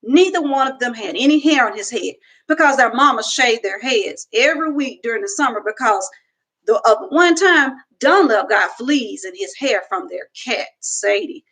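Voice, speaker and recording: A young woman reading a children's book aloud at home into a webcam, with slow, expressive storytelling. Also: on the high side, breathy edge, low-quality recording. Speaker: reader. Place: home room, indoors.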